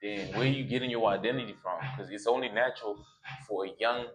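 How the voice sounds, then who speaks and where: Slangy young man with a low voice. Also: a little fast. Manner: slangy, streetwise, repeats phrases for emphasis. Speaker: YouTuber. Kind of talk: casual motivational vlog.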